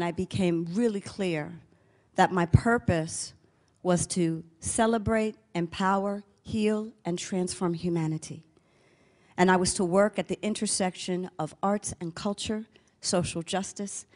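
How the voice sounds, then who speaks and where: A woman giving an inspirational conference talk, measured and rhythmic, stacking words in cadenced lists. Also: on the low side. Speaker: conference speaker.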